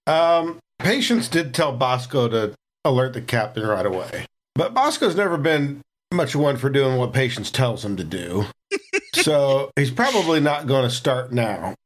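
A man's voice: medium pitch, very animated, a little slow. A man playing a tabletop game, chatting casually about his character in the third person. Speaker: player.

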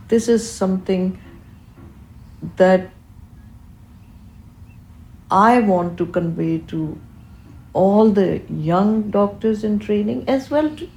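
Older urdu-native woman answering interview questions, unhurried and reflective, kinda mellow, echoey room. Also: medium pitch, slight background noise. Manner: eloquent, reflective, deliberate phrasing. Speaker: interview guest, physician and researcher.

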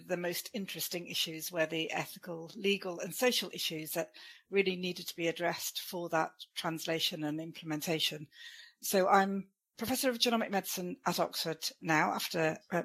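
A woman professor as a podcast guest, speaking in a brisk, articulate way with some fillers. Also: lowish voice, a bit bright. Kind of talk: podcast interview.

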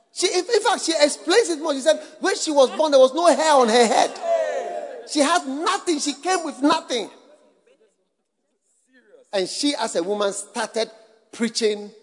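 A man preaching a sermon to a full hall, talking rhetorically with lots of repetition, and building up to shouting. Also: very high voice. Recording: long hall reverb.

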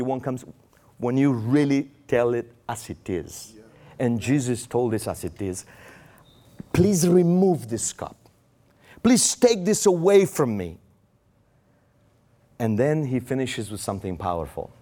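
A man's voice: mid-range voice, darkish tone. A man preaching a sermon in English with a Romanian accent, his first language Romanian, acting out quoted prayers with raised voice.

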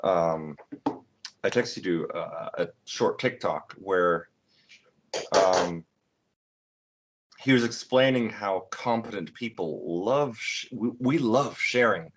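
Twenty-something male podcast host, low voice, loose rambling delivery full of "um"s, dull lo-fi recording. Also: animated, slow, long pauses, noisy background. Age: 20s. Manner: rambling, many fillers and restarts. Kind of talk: casual chat. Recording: dull lo-fi sound.

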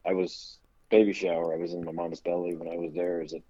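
A man's voice: sits low, level tone, quick pace, muffled recording, a crowd in the background. A middle-aged man, a guest on an interview show, telling easygoing, rambling anecdotes about growing up. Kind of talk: casual storytelling interview.

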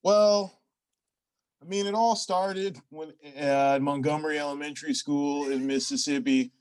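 A man improvising a hapless, rambling stenographer job applicant in a comedy sketch, halting and sheepishly self-justifying. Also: a little high, fairly even intonation, a little mellow, close to an everyday voice. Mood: sheepish, hesitant.

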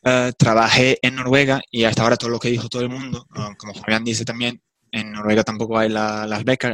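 A man's voice: fairly low pitch, a bit bright, no echo. Portuguese-accented man, hesitant, dry sound. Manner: thoughtful, hesitant, apologetic about his Spanish. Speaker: swim coach. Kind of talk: online group discussion.

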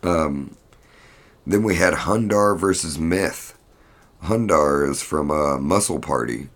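A man's voice: rumbling low, takes it slowly, fairly bright tone. A man hosting a podcast, giving a casual fight recap in a blunt, rambling, profane manner. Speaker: podcast host.

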